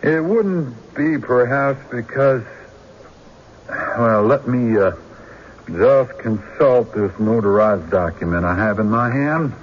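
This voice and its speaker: An actor playing a shrewd country defense lawyer in a radio drama, deliberate and rhetorical, pressing pointed questions. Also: male voice, medium pitch, expressive. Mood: sly. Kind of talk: old-time radio drama acting. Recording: dull, noisy radio drama recording.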